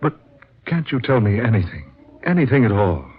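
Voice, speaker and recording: An actor playing an earnest, troubled writer searching for truth in a vintage radio drama, with formal, theatrical phrasing. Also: male voice, medium pitch, very animated, moderate pace, slightly rough. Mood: earnest pleading. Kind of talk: 1940s radio drama acting with narration. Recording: dull, muffled vintage radio recording.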